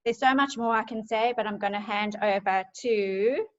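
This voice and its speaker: A woman's voice: mid-range voice, moderate pace, highs cut off. A woman presenting on essential oils in a chatty, enthusiastic way with many fillers. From South Africa. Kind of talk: casual group presentation.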